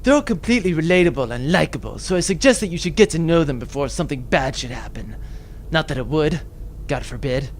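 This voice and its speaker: A man's voice: fairly high pitch, quick pace, a little hiss or hum, natural voice. A man playing a cocky, pompous macho military sergeant in a comic sci-fi audio drama. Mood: swaggering. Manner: pompous, deadpan introductions.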